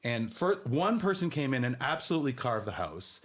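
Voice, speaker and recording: A man's voice: medium pitch, a little fast. Storytelling man with a big voice. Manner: storytelling, animated, says he has a big voice. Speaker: podcast co-host, real estate agent. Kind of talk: casual chat.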